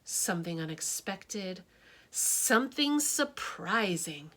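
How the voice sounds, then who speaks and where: A woman's voice: medium pitch, expressive, slow. A woman voicing a kind, encouraging schoolteacher in a storybook. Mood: gentle. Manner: gentle, warm and encouraging.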